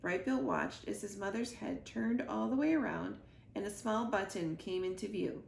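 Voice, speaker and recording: A woman storyteller giving an expressive read-aloud of a children's book. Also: medium pitch, moderate pace, gravelly. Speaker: library storyteller. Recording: roomy echo, faint background noise.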